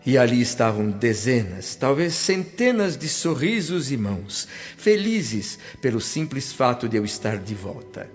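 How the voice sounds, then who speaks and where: A man's voice: medium pitch, very animated, measured pace, few pauses. A man playing a humble, devout spirit who narrates a radio drama with reverent, emotive expression. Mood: moved, awed. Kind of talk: dramatic radio-play first-person narration. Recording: dull lo-fi audio with music behind. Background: clear background music.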